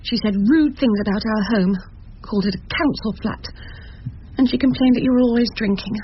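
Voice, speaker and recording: An actress with an English accent playing a dry, formidable barrister's wife in a radio drama, tart and measured. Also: female voice, fairly high pitch, close to an everyday voice. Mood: sleepy, indignant. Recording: dull radio recording, faint noise.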